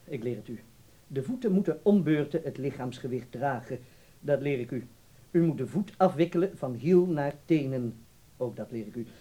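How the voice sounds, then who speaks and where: An actor in a Dutch radio drama playing a blunt, self-assured ballet teacher giving clipped, emphatic instructions. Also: male voice, medium pitch, animated, moderate pace, mellow, close to an everyday voice. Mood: blunt, instructing.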